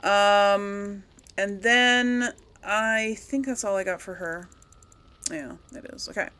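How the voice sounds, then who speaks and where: Bright-voiced woman chatting through a game. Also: medium pitch, takes it slowly, smooth. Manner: rambling, talks to herself. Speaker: gaming video host. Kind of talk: casual game commentary, thinking aloud.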